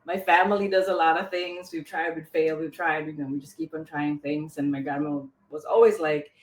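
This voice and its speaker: A woman's voice: low-pitched, fairly even intonation, fairly quick. A woman from the Philippines, a podcast guest, chatting casually and filling her talk with "like" and trailing restarts. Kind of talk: casual interview chat. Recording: roomy echo.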